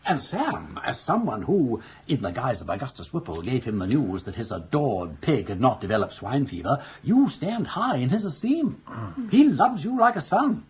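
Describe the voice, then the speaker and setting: An actor playing a genial, scheming older upper-class English gentleman with an urbane, florid, self-assured manner in a radio comedy drama. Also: medium pitch, sing-song, normal speed, husky. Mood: confident, persuasive.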